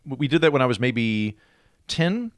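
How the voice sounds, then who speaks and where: A man from Oklahoma, a guest in a casual interview, talking with dry, deadpan humor and rambling restarts. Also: medium pitch, moderate pace, no room sound.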